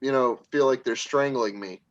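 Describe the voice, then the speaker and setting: A man's voice: mid-range voice, moderate pace, highs cut off. A man in his forties in a casual group chat, talking in an easygoing, shrugging way. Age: 40s.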